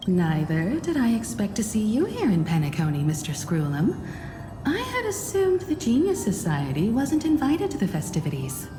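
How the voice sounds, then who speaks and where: An actress playing a thoughtful, polite young explorer who asks inquisitive questions. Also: female voice, mid-range voice, huge pitch swings, normal speed. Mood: curious. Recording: roomy reverb with game music.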